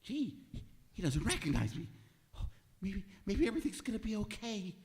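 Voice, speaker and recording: Guy doing a frazzled old radio comedy shop clerk, highish voice, mutters and stammers, really animated. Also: normal speed. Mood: anxious dread. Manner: stammering, fretful, muttering self-pitying asides. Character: nervous, exasperated male department store clerk, muttering to himself. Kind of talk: old-time radio comedy stage acting. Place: live performance in a museum hall. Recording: reverberant hall, live stage recording.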